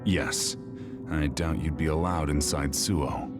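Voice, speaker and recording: A man's voice: a bass voice, steady tone, slow, loud music behind the voice. An actor playing a stern, imposing male former general in a video game. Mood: grim. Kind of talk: scripted video game cutscene acting.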